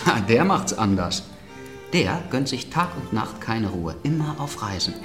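A man's voice: mid-range voice, big pitch swings, a little slow. Middle-aged invalid nobleman: bitter, mocking, widely swinging intonation, radio drama with faint music underneath. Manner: agitated, mocking, theatrical. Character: bitter, sardonic, ailing aristocrat in his forties or older. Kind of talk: German literary radio play acting.

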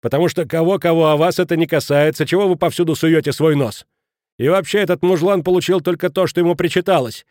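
A man's voice: lowish voice, moderate pace, hardly any pauses, a little mellow, no echo, clean studio sound, natural voice. Man acting out surly, threatening bully. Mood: scornful. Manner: gruff, goading, threatening.

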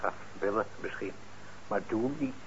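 An actor in a Dutch radio play playing a kindly, weary older instrument repairer, mild and hesitant, with a gravelly voice. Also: male voice, on the low side, normal speed. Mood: calming, fatherly. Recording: muffled, noisy old radio recording.

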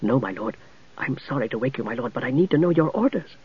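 A man's voice: medium pitch, lively intonation, fast, slightly rough. A man voicing a polite, dutiful young knight who speaks deferentially. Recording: dull, dry recording.

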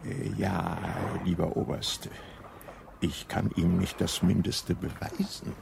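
An actor playing a dry, ironic British police inspector in a radio drama, full of sly understatement. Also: male voice, low voice, level tone, slow pace, gravelly, heavy background noise, full character voice. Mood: sardonic. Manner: wry understatement, mock-casual.

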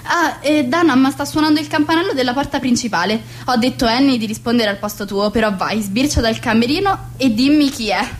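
A woman's voice: on the high side, brisk, few pauses, a little hiss or hum, close to an everyday voice. An actress playing a bossy, exasperated society mother and hostess in a theatrical radio comedy, with a haughty, melodramatic manner. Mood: flustered, irritated.

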